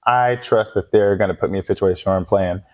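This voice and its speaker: Young male singer guest, low voice, animated slangy storyteller, laughs a lot. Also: fast talker. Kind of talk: casual interview chat.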